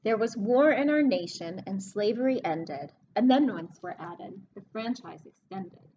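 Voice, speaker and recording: A woman librarian reading a picture book aloud to children, expressive and explanatory, pausing to speak to her young listeners. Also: mid-range voice, lo-fi sound, slight background noise. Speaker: children's librarian hosting story time.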